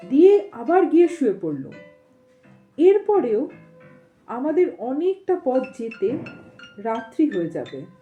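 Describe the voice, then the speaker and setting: A woman reading a story aloud as an audio storyteller, in an expressive, suspenseful narrating manner. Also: high voice, phone-quality sound. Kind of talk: dramatic reading of a Bengali ghost story with casual asides.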